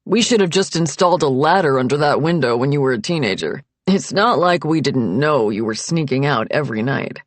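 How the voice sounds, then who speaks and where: A woman's voice: very deep voice, animated, brisk, hardly any pauses, dry recording, voice pushed down. A woman voicing a genial, teasing older father in an audiobook, with a wry and easygoing manner.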